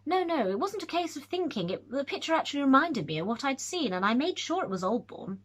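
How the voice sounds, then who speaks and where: A woman's voice: high voice, fairly quick, voice pushed up. A woman reading aloud, voicing a young male scholar who tells his tale eagerly, with nervous stumbles. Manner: lively, excited storytelling with hesitations.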